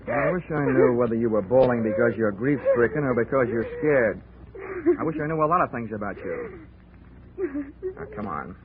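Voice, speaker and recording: A man's voice: mid-range voice, huge pitch swings, normal speed, a crowd in the background. An actor playing a wry, hard-boiled male insurance investigator who narrates and wisecracks in clipped 1940s radio style. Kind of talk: 1940s radio acting with first-person narration. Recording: scratchy phone-like 1940s radio broadcast.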